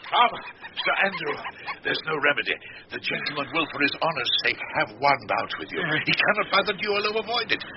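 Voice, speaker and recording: An actor playing a boisterous, scheming older knight in a radio Shakespeare comedy, theatrical and blustering. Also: male voice, a little high, lively intonation, fairly quick, lightly acted. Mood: mock solemn. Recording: muffled, very noisy radio drama recording.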